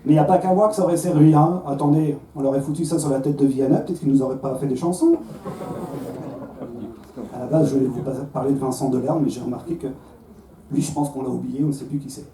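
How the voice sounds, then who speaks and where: A man's voice: medium pitch, quick pace, warm tone, slight background noise. A man from Belgium with a Belgian French accent, in his thirties, doing a self-mocking stand-up set on a comedy club stage, with stammered restarts. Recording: roomy club sound from a distance, faint crowd.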